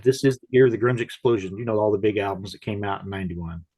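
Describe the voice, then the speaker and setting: A man's voice: fairly low pitch, fast talker, darkish tone, breathy edge. A man co-hosting a casual music chat, tired from no sleep, with dry, self-mocking humor. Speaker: co-host.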